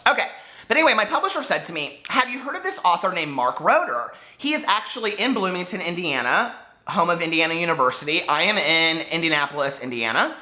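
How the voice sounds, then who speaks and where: Animated, bright-voiced American man chatting. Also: fairly high pitch, fast talker. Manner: gushing, confiding, digressive, emphatic. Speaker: book vlogger and author. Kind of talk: casual chatty vlog to camera.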